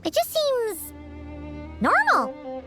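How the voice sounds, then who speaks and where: Tiny squeaky fairy kid for a game, super high, super bouncy pitch, cheeky n blunt, music going behind. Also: female voice, wildly swooping pitch, drawn-out words, heavily acted. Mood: skeptical. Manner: blunt, exclamatory, refers to herself by name. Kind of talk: video game character acting.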